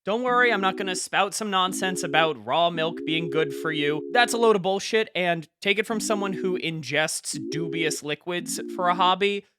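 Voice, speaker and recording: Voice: medium pitch, moderate pace, few pauses, heavy background noise, natural voice. A performer playing an earnest, wry conspiracy podcast host, delivering a conversational, mock-serious, emphatic monologue. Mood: wry, confiding.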